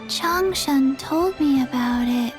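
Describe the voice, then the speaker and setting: A woman voicing a drowsy, forgetful little girl in a video game, with halting, deadpan delivery. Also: sits high, over music. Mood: flat. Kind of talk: animated video game dialogue.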